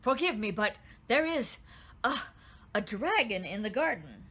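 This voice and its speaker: A woman's voice: mid-range voice, big pitch swings, measured pace, sharp tone, breathy edge, exaggerated voice. A woman voicing an earnest, breathless fairy guard who reports with urgency.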